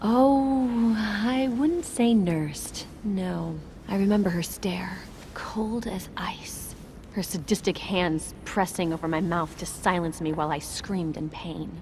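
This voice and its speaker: A woman's voice: fairly low pitch, fairly even intonation, normal speed, vocal fry, few pauses, darkish tone, slight background noise. An actress playing a wounded, distrustful young woman in a video game drama, speaking in an intense, bitter and guarded manner. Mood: haunted, bitter.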